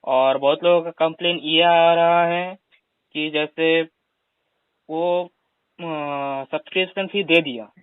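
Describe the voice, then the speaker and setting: Male caller, slightly high voice, probing interviewer manner, dull dry phone recording. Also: fairly even intonation, slow pace, smooth, dry recording. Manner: probing, asks follow-up questions. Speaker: caller questioning a recovery agent. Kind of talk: phone conversation, questioning.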